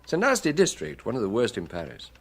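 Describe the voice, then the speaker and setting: A British actor playing a shrewd, commanding chief inspector with dry sardonic manner in a radio drama. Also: male voice, mid-range voice, huge pitch swings, quick pace. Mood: dry, grim.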